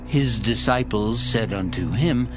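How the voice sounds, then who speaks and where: Slow, measured male narrator. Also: lowish voice, poor audio. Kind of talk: scripted scripture narration. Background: faint background music.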